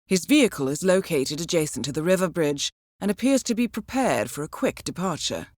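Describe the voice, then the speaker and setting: An actress playing a composed intelligence handler who briefs an assassin in a measured, formal, understated way for a video game. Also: female voice, fairly low pitch, animated, darkish tone, no echo, studio quality. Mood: composed, businesslike. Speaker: mission handler. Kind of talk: scripted video game voice acting, mission briefing.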